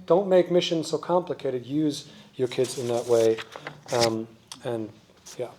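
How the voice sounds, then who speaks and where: A man's voice: mid-range voice, steady tone, measured pace, noisy background. A middle-aged man speaking as a missionary at a church session, telling anecdotes in a casual, storytelling manner. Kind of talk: informal anecdotal talk.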